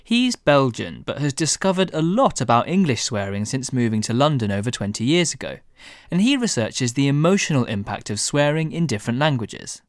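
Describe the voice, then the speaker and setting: A man's voice: medium pitch, sing-song, fairly quick, no room sound, clean studio sound. A man presenting a radio science program, conversational and easygoing. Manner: conversational, laughs easily.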